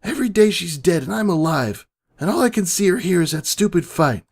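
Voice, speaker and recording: A man's voice: high voice, animated, a little fast, vocal fry, pitched above the performer's own voice, heavily acted. A man voicing a young female pegasus pony, brash and grief-stricken, in an emotional dramatic reading. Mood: anguished, guilty. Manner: emotional, pleading outbursts. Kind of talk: dramatic fan fiction reading. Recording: background music.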